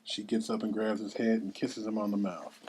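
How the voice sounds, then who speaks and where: Medium-pitched stage directions reader, noisy recording. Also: flat delivery, a little fast, darkish tone. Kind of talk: scripted narration at a table read.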